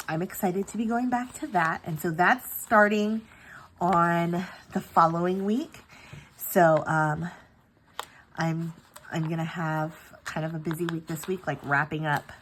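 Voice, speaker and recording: A woman's voice: deep voice, slight background noise. A woman host chatting casually through her weekly planner setup, stuffy-nosed from a cold, with lots of ums and self-corrections. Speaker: planner sticker channel host. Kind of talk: casual planning vlog chat.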